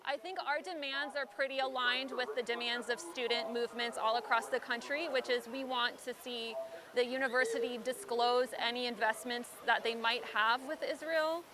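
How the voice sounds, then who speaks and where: A woman's voice: fairly high pitch, fairly even intonation, moderate pace. Woman protest organizer, noisy background. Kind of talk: interview answer.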